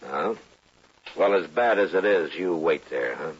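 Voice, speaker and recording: An actor playing a weary, dry-humored US marshal in a 1950s radio western, speaking curtly and laconically. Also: male voice, deep voice, expressive, a little slow, husky, heavily acted. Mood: dry, guarded. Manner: laconic, dry, patient but curt. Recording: muffled vintage radio recording.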